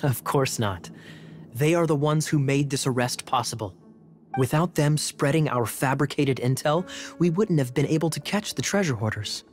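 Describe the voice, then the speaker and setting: A suave male knight captain from a video game , played by an actor. He speaks in a mid-pitched voice with sly composure and teases with ironic courtesy. Light music sits behind him. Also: lively intonation, moderate pace, natural voice. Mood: teasing. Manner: ironic politeness, smooth teasing.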